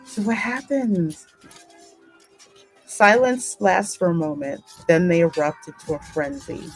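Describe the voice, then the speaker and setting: Female game host and narrator: deep register, measured pace, dramatic storytelling delivery with conversational asides. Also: lively intonation, slightly rough, faint music behind, low-quality recording. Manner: deliberate, dramatic reading. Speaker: gaming channel host and narrator.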